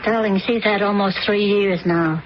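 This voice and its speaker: A woman's voice: medium pitch, fairly even intonation, normal speed, close to an everyday voice. A woman as an uneasy stepmother. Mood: worried. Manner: earnest, worried, placating. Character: anxious stepmother, middle-aged wife. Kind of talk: 1970s radio drama acting. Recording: dull old radio broadcast, noisy.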